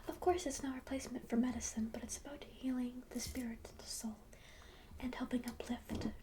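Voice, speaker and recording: A woman voicing a kindly, chatty cat-like spellcaster in a soft, breathy whisper for a fantasy ASMR roleplay. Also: sits high, natural voice. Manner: gentle, welcoming, rambling, musing.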